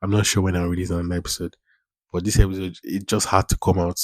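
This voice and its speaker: A man's voice: deep voice, little pitch movement, quick pace, no echo, studio quality. A man in his twenties hosting a casual solo podcast, rambling, repeating phrases and using informal slang. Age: 20s. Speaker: podcast host.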